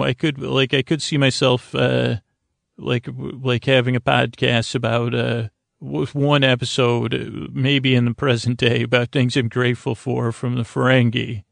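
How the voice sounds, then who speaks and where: A man hosting a sleep podcast, improvising a meandering, halting monologue that repeats itself and trails off, in a creaky, gravelly voice. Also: medium pitch, flat delivery, dry recording. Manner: meandering, halting, repetitive, trails off mid-sentence. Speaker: podcast host.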